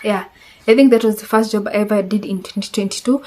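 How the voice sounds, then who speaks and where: A woman's voice: medium pitch, fairly even intonation, fast talker, dark tone. A woman in her twenties with a Kenyan accent, a Swahili speaker, chatting casually through a tag-question vlog, rambling and hedging as she goes. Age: 20s. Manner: chatty and rambling, hedges with "I think". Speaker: vlogger.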